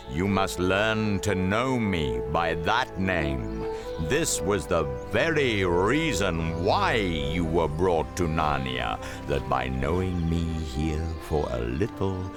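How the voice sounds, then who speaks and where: An actor playing a majestic, solemn talking lion in a radio drama, speaking slowly with grave tenderness in a gravelly voice. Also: male voice, very deep voice, heavily acted. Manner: slow, deliberate, grave.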